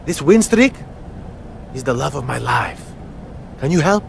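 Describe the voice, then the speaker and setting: A man's voice: a little high, sing-song, unhurried, vocal fry, a little hiss or hum, full character voice. A man playing a goofy, naive, boastful comic wrestler with a childlike, eager manner in a video game cutscene. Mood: nervous pleading.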